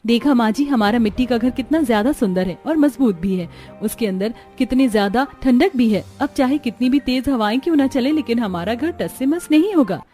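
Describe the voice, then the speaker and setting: Casting: a woman as practical young village bride in a cartoon tale, soft mellow tone, highish pitch, music underneath. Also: animated, a little fast, hardly any pauses, lightly acted. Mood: lively. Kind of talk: scripted cartoon story dialogue.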